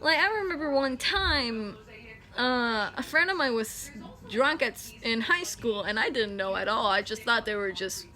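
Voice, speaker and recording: Young woman vlogger, nineteen, high bright voice, chatty and self-correcting. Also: animated, moderate pace. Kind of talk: casual talking-head explainer.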